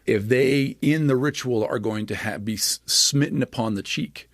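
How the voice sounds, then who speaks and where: A man's voice: mid-range voice, normal speed, no room sound, studio quality. A man on a podcast, explaining scripture eagerly and often checking in with "right?" as he builds his argument. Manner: enthusiastic, lecturing with many asides and "right?" tags. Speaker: podcast guest, scripture scholar.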